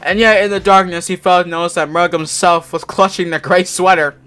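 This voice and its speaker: A man's voice: sits high, fairly even intonation, fairly quick, sharp tone, clear, resonant tone. A man casually reading a story aloud, stumbling over the text and breaking off for mocking, sweary asides. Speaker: amateur fan fiction reader. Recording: music playing behind the voice. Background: background music.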